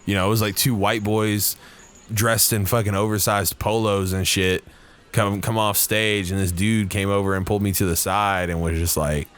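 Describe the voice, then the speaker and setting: A young man co-hosting a podcast, telling stories in casual, profane chat with a loud voice. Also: on the low side, normal speed. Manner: loud, animated storytelling with laughs and profanity. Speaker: podcast co-host.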